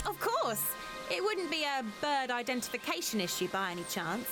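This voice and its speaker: High-voiced, animated, courteous villager creature. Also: female voice, moderate pace. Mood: chipper. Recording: game music clearly behind the voice. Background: game music.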